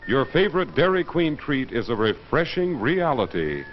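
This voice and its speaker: Animated vintage male ad announcer, dull sound. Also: medium pitch, normal speed. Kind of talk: vintage commercial announcer read. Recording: dull old ad film, music behind.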